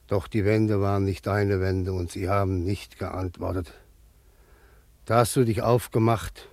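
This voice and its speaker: Radio drama: solemn peasant elder, measured and slow, biblical cadence, close dry sound. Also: male voice, lowish voice, darkish tone, no room sound. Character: grave, steadfast narrator and peasant patriarch.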